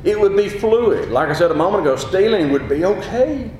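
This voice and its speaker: A man preaching a sermon with a gruff, booming voice and passionate emphasis. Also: expressive, fairly quick, a little mellow, a little hiss or hum. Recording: very echoey hall.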